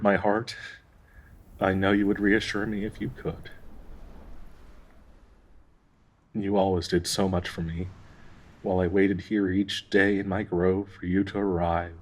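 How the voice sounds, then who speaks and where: A man playing a gentle, grieving dryad in an intimate audio roleplay, speaking in a tender, halting, wistful way with a husky, breathy voice. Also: low voice, little pitch movement. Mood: tender, regretful. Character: gentle, grieving male dryad devoted to a sick companion.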